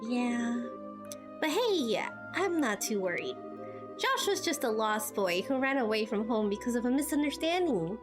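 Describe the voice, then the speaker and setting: A woman doing amateur game voice acting as a spirited, earnest teenage girl adventurer who talks in a casual, expressive way. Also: on the high side, a little slow, music in the background. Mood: wistful, determined. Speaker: amateur voice actor playing through a game.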